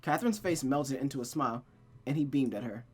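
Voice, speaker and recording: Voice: mid-range voice, expressive, fairly quick, slightly rough. A playful, jokey streamer who reads a visual novel aloud, moving between casual chat and dramatic story narration.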